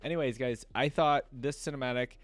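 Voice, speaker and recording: A man's voice: mid-range voice, steady tone, brisk, no room sound. A man hosting a reaction video, commenting casually in informal, slangy fan talk.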